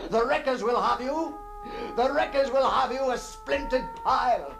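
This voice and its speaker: A haunted, obsessive gentleman in a gothic radio drama, his voice high for a man and cracked with gravel. He speaks slowly and haltingly, repeating himself with fevered theatrical intensity. Music runs behind him. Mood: agitated defiance. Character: haunted, obsessive gentleman confronting a ghostly double.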